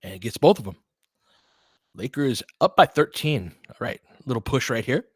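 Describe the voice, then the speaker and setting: A man with a mid-pitched voice calls a basketball game in a very dry, close recording. He speaks in short, clipped phrases as the play unfolds and mixes in informal asides and casual analysis. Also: sing-song, moderate pace, slightly rough, no echo. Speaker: play-by-play commentator.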